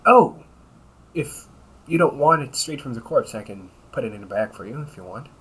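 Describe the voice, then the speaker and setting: A man's voice: mid-range voice, expressive, normal speed, a bit bright, lightly acted, rough recording. A man playing a cocky, weary, centuries-old but young-sounding vampire brother in a conversational audio roleplay, grumbling with dry sarcasm. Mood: casual, explaining. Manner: sarcastic, grumbling, often chuckling. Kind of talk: audio roleplay, conversational one-sided dialogue.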